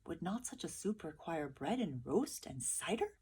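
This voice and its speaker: A woman's voice: on the low side, big pitch swings, moderate pace, darkish tone, slight background noise. A woman reading the part of simple, awestruck village peasants in a storybook, earnest and emphatic. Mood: awed.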